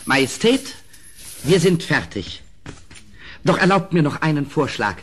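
Scheming dwarf court jester, noisy old recording. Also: male voice, on the high side, very animated, measured pace, fairly bright tone. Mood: servile, sly. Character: dwarf court jester, submissive yet scheming, addressing his king. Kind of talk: radio play acting.

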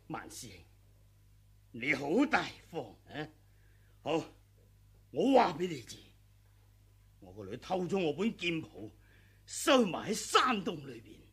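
A scheming old martial master in a classic radio play, speaking slowly with a husky, gravelly edge. his tone is bright and sharp, and his pitch leaps about as he turns from sly to savage. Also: sing-song, full character voice. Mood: defiant. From Hong Kong. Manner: sly, scheming, sneering laughs, sudden murderous yells. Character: treacherous aging male sword master, cunning and greedy. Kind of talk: 1980s radio drama acting.

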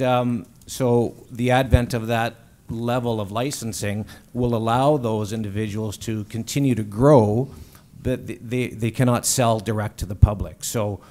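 A man with a low, mellow voice speaks as a business panelist at a table mic. He talks haltingly, restarting phrases with ums. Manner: hesitant, many restarts. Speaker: company executive panelist. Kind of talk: panel discussion. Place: conference stage.

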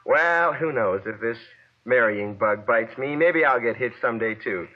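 Young man, medium pitch, scripted 1940s radio roundup, dull lo-fi vintage broadcast sound. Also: animated, moderate pace. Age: young adult. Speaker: guest correspondent, former child quiz contestant.

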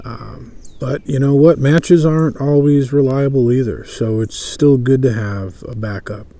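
A man in his thirties giving a casual, unhurried, folksy show-and-tell as an instructor. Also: medium pitch, steady tone, mellow. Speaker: bushcraft instructor and presenter. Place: outdoors at a table on a lawn by woods.

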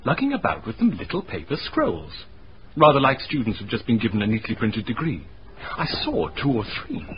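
An actor playing a genteel, witty gentleman telling his story in a radio drama, in a wry, wordy, polite manner. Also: medium pitch, wildly swooping pitch, brisk. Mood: dryly amused. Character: genteel, witty gentleman recounting his eerie castle stay. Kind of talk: radio drama narration and acting. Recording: dull radio recording, faint noise.

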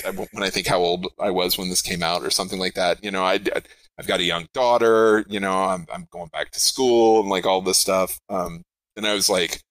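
A middle-aged man with a big, projecting voice, pitched a little low, with a bright, forceful edge. He talks in a rambling, animated way, often restarting his sentences. The sound is close and dry. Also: fairly quick, no room sound, poor audio. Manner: rambling, self-interrupting, animated. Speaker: game designer guest. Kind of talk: podcast interview.

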